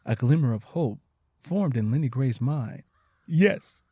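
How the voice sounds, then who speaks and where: A man narrating a scripted story in an expressive storytelling style. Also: medium pitch, normal speed, breathy edge, no echo.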